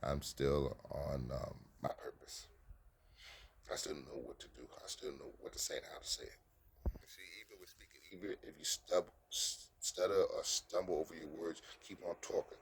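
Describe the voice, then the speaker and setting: A man's voice: a bass voice, a little mellow, slightly rough. A man giving dating advice to other men in a rambling motivational monologue, often stumbling, restarting and repeating phrases for emphasis.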